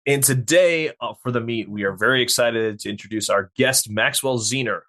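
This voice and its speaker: A man's voice: medium pitch, pushed and bright. A man hosting a podcast interview in a casual, conversational style. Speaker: podcast host.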